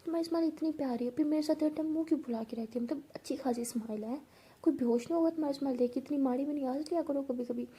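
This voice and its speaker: A woman's voice: high-pitched, rapid-fire, warm tone, natural voice. A woman voicing a talkative, scolding young woman with a secret crush in an oral story, rambling and teasing. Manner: rambling, teasing, stammers when flustered.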